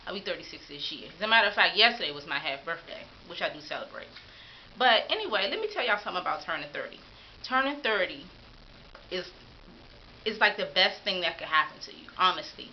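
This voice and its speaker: A woman's voice: on the low side, big pitch swings, fast, highs cut off, slight background noise. A woman in her thirties vlogging to camera in a casual, upbeat and encouraging way. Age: 30s.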